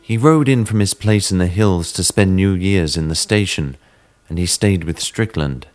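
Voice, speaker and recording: Very deep-voiced man narrating a horror story. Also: moderate pace. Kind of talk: dramatic reading of a classic horror story. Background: sitar music.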